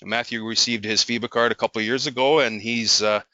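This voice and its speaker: A man hosts and introduces a guest speaker, pausing often and filling gaps with ums and uhs. His low voice is bright and crisp, but the audio is dry and lo-fi. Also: fairly even intonation, no room sound. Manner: halting, many ums and uhs. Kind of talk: formal introduction.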